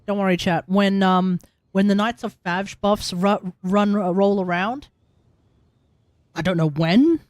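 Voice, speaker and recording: A woman's voice: medium pitch, a little slow, a little mellow, no room sound. A woman hosting a livestream with casual game commentary, thinking aloud and correcting herself as she goes. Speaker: livestream host. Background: game music.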